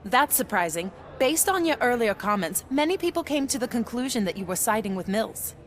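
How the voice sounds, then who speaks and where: A persistent TV reporter with a slightly raised pitch, pressing her questions in a formal broadcast manner. Her delivery stays composed and insistent. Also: very animated, brisk. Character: pressing female TV news reporter.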